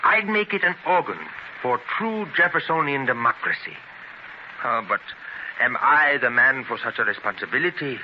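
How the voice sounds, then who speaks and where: An actor playing an ambitious, intense immigrant newspaper publisher in earnest, declamatory 1930s radio drama style. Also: male voice, medium pitch, lively intonation, normal speed, natural voice. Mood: restless, doubting. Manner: earnest, declamatory, theatrical delivery. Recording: noisy, dull 1930s radio transcription.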